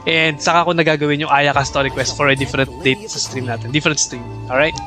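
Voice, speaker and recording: A man's voice: on the high side, flat delivery, fast. A man with a Filipino accent giving casual, chatty live game commentary and talking to viewers. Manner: chatty, reacts aloud and thanks viewers. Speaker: game livestreamer. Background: game music.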